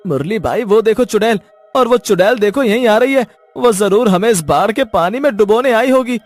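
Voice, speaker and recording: A man's voice: sits high, animated, normal speed, faint music behind. A man playing distrustful, alarmed village men in a cartoon, with emphatic and urgent dramatic delivery. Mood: hostile, alarmed.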